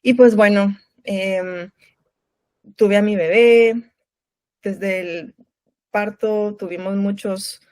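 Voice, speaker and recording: A woman's voice: medium pitch, fairly even intonation, drawn-out words, pauses often, a little mellow, no room sound. A woman in her thirties with a Guatemalan Spanish accent speaks as an interview guest, a lactation consultant sharing her own story. Her mid-range voice sounds close and dry. She chats personally and keeps checking in with little tag questions. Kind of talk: conversational interview.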